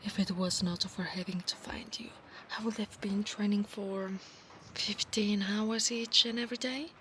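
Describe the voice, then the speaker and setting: A woman's voice: medium pitch, steady tone, unhurried, fairly bright tone, close to an everyday voice. Woman playing a proud Italian-accented centaur knight, noisy ambience. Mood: conversational. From Italy. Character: proud, obsessive, battle-hungry female centaur knight. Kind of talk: ASMR roleplay spoken to the listener. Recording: clear background noise, ambience.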